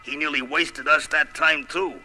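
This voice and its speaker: An actor playing a gruff, wisecracking brawler of a superhero on a 1970s record, telling his story in sardonic tough-guy slang. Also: on the high side, fairly even intonation, moderate pace, music in the background, lightly acted, low-quality recording. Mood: wry, boastful.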